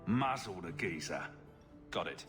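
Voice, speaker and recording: An actor playing a wry, self-assured adventurer hero in a video game, speaking bluntly. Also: male voice, sits low, lively intonation, unhurried, music in the background. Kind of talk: video game cutscene acting. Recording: noisy ambience behind the voice.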